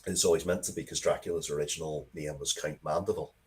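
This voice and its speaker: Low-voiced man telling stories emphatically. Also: steady tone, fast. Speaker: guest, writer of Dracula media. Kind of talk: animated interview talk, storytelling.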